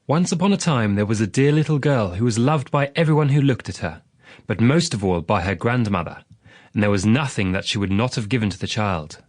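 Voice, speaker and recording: A man's voice: lowish voice, lively intonation, fairly quick, dry recording, clean studio sound. A man reading a fairy tale as scripted audiobook narration.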